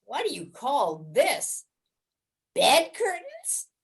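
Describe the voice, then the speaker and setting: A sly old junk dealer, grudging and pleased with himself played by a woman. The voice is high and swoops freely, with slow, wry, theatrical phrasing for a storybook reading. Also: huge pitch swings, full character voice. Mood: incredulous. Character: sly old male rag dealer, grudging, self-satisfied haggler. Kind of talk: dramatic reading of a classic story.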